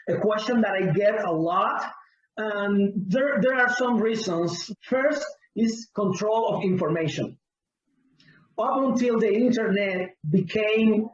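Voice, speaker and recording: A man with a Cuban Spanish accent and a high voice, speaking slowly and earnestly as he searches for words. His sound is distant and roomy. It has the muffled, lo-fi quality of a video call. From Cuba. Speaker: pastor and guest. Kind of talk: interview answer.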